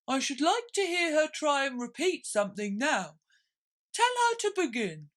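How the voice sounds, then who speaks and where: A melancholy storybook turtle creature, speaks in a raised, swooping voice. The words are stretched out and plaintive, as if the creature is easily hurt. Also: female voice, high voice, big pitch swings, normal speed, fairly bright tone, pitched above the performer's own voice. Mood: curious, thoughtful.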